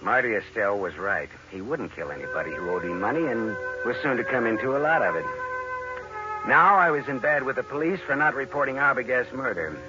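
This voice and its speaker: An actor playing a wry, tough private detective who narrates a 1940s radio noir, deadpan and laconic. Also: male voice, medium pitch, a little fast, close to an everyday voice. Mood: wry, somber. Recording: muffled vintage radio recording, dramatic music.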